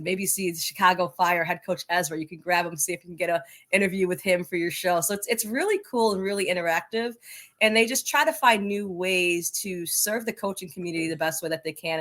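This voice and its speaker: Enthusiastic woman with a lowish voice. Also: fairly bright tone. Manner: enthusiastic, emphatic, talkative. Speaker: podcast guest, soccer coaching advocate. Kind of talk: conversational interview.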